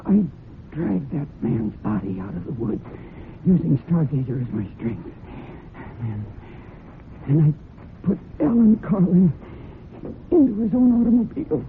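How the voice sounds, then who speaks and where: A woman's voice: sits low, sing-song, a little slow, long pauses, heavily acted. Intense, guilt-ridden woman, noisy narrow-band radio. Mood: anguished, intense. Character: guilt-ridden, desperate woman covering up a death. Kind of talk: dramatic radio mystery acting.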